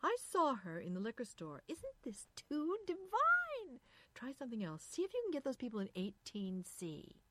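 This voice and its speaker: A woman's voice: medium pitch, very animated, fairly quick, few pauses, fairly bright tone, no room sound. A woman voicing an anxious, curious wife in a story, speaking emphatically and insistently. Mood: fascinated. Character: anxious, curious middle-class wife, easily astonished.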